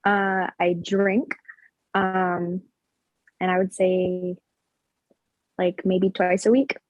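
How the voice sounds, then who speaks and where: A woman of twenty-four giving relaxed, casual interview answers, with many fillers. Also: lowish voice, very slow, dry recording, muffled recording. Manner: relaxed, laughing, filler-heavy.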